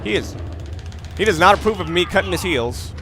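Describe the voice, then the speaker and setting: Bright-voiced young man rambling over gameplay. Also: high-pitched, expressive, a little fast, faint music behind. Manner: rambling, opinionated, swears casually. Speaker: let's play commentator. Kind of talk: casual gameplay commentary.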